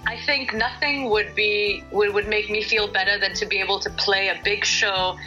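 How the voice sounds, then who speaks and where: A middle-aged woman originally from Singapore answers interview questions about her music. Her voice is bright and forward, and she thinks aloud, full of ums and small restarts. Faint music sits underneath. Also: mid-range voice, steady tone, moderate pace, muffled recording. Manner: thoughtful, hesitant, many fillers and restarts. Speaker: singer-songwriter guest.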